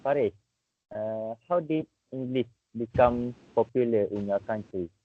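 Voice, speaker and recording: A man's voice: mid-range voice, a little slow. A man interviewing a friend, asking casual questions and repeating words as he hesitates. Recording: tinny phone-like audio.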